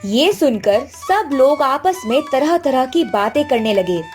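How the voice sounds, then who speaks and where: A woman's voice: sits high, very animated, a little slow, darkish tone. A woman narrating a scripted cartoon story as the storyteller. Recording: faint background music.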